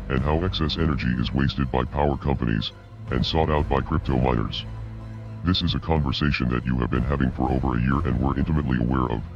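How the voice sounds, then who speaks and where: A man with a disguised, pitched-down voice delivers a scripted message in a measured, formal, accusatory way. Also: muffled recording. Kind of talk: scripted video message narration.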